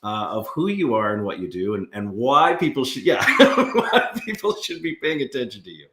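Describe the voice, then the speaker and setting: A man's voice: mid-range voice, normal speed, noisy background. A man hosting a podcast interview, introducing his guest and asking questions with an enthusiastic manner. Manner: enthusiastic, lively delivery. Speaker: podcast host. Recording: roomy echo.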